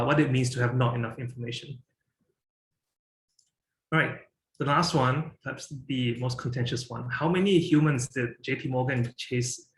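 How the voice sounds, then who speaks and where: A man's voice: mid-range voice, reverberant, a little hiss or hum, low-quality recording. A man from Singapore in his thirties, giving a presentation and talking with many fillers and restarts. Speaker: guest speaker.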